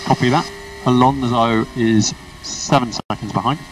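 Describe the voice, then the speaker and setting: A man's voice: medium pitch, steady tone, a little slow. Man race engineer on noisy team radio. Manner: clipped, procedural, instructive. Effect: team radio transmission. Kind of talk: motorsport team radio instructions. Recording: noisy distant radio, car and engine noise.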